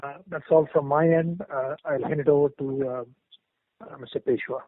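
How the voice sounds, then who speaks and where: Tinny telephone audio, dry, man, Indian accent, slightly high pitch, careful formal investor update. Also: steady tone, moderate pace, no room sound. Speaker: company executive. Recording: dry, tinny phone conference line.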